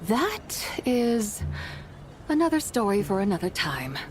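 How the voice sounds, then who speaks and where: A woman's voice: mid-range voice, sing-song, slow pace, a little hiss or hum. A woman voicing an ancient, proud and mischievous horse spirit in a video game, with grandiose, archaic phrasing. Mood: stately, musing. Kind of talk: video game character dialogue. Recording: game music behind the voice.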